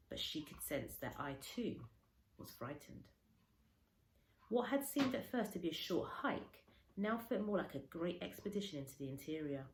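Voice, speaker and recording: A woman reading a children's story aloud like a teacher, dramatically, with pauses and stretched words for emphasis. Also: deep voice. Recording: echoey room, faint background noise.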